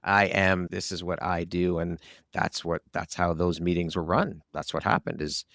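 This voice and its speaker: A man's voice: low-pitched, steady tone, normal speed, gravelly, fairly bright tone, no room sound, studio quality. A man hosting a conversational interview podcast, asking questions and sharing his own views. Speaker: podcast host.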